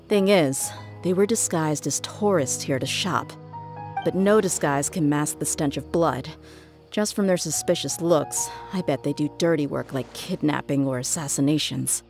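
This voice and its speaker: A woman's voice: deep voice, a little mellow. A woman playing a tough young desert mercenary in a video game, talking in a casual, blunt, streetwise way. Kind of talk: video game dialogue acting.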